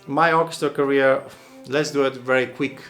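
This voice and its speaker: A man's voice: mid-range voice, steady tone, moderate pace, a bit bright, faint music behind. A man from Bulgaria, an oboist, answering viewer questions casually, thoughtful and rambling, with many restarts and fillers. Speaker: oboist and channel host.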